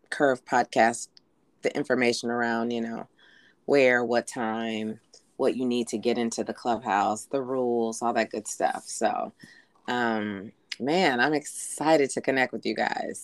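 Woman, podcast co-host, hoarse, low-pitched, dry close mic, rambling casual chat. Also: no echo. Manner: chatty, many "um"s and "you know"s. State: hoarse from being sick.

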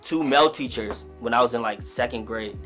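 A man in his twenties from Nigeria, a guest rapper telling stories in relaxed, slangy casual talk. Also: mid-range voice, a little fast, soft background music. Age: 20s. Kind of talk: casual podcast interview.

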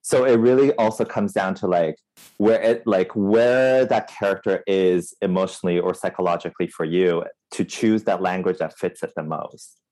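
A man from Taiwan talks in a relaxed panel discussion, his voice sitting very low. He is thoughtful and builds his points around concrete examples. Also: moderate pace, low-quality recording.

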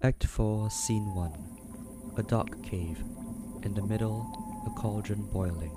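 A man narrates a play, reading stage directions as scripted narration. The voice is low, and ominous music plays clearly behind it. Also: slow pace.

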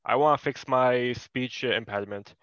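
Brief: male podcast producer with a lisp, smooth clear tone, dry muffled recording. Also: medium pitch, normal speed, a bit bright, no room sound. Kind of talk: casual chat. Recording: dry, dull lo-fi sound.

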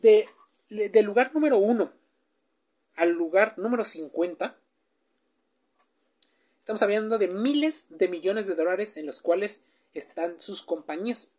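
A woman's voice: medium pitch, expressive, unhurried, pauses often, a little mellow. A woman, giving a chatty explainer and often asking the listener questions. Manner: chatty, asks the listener questions, with filler sounds. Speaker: presenter. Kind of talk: informal explainer speaking straight to the listener.

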